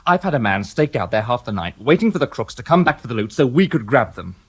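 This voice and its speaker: Gruff sceptical inspector, sardonic, expressive pitch. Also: male voice, fast talker. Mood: sarcastic. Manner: blunt, dry and sarcastic. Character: gruff sceptical middle-aged police inspector. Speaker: detective inspector. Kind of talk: radio drama acting.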